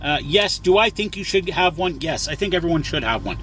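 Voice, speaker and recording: A man's voice: a little high, expressive, brisk, fairly bright tone. A man talking casually as a trucker vlogger, explaining things in a rambling, folksy way and repeating himself for emphasis. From Canada. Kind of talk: casual explanatory vlog talk. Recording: clear vehicle and outdoor noise. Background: vehicle and outside noise.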